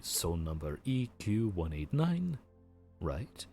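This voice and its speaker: A weary grim reaper clerk with a very deep voice that rises and falls widely as he talks, played by a man. He speaks slowly, like a sarcastic, put-upon bureaucrat, with faint music behind him. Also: sing-song, dark tone, exaggerated voice. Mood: puzzled. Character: weary male grim reaper running the underworld queue. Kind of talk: audio roleplay.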